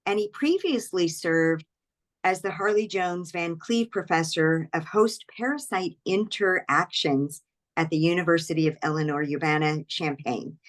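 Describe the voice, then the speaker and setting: A woman's voice: on the low side, fairly bright tone. A woman hosting an online book club for a nonprofit, introducing the guest in a friendly way with frequent ums and repeated words over a video call. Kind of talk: introducing a speaker at an online event.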